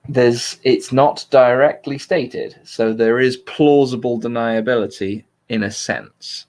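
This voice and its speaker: A man's voice: mid-range voice, fairly even intonation, slow pace, darkish tone. A man hosting a casual livestream political discussion in an analytical way, qualifying his points carefully. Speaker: livestream host.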